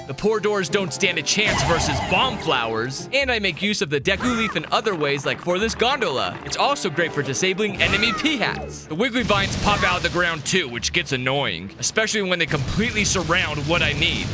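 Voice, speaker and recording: A man's voice: high voice, very animated, moderate pace, few pauses. A man narrating his gameplay from a script in a playful, theatrical way, with sarcastic asides. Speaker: YouTube game commentator and host. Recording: background music under voice. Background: music.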